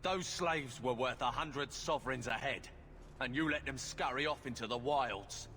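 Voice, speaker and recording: An actor playing a mocking, threatening criminal boss in a fantasy video game. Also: male voice, mid-range voice, normal speed, bright, forceful tone. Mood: accusing. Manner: taunting, threatening. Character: menacing, mocking male criminal henchman boss. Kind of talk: video game villain dialogue. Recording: clear ambient noise behind voice.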